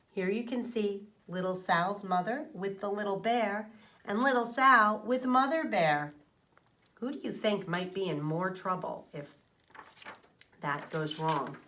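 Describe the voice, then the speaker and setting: A woman teacher reading a children's storybook aloud, expressive, explaining things to young listeners and asking them questions. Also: mid-range voice.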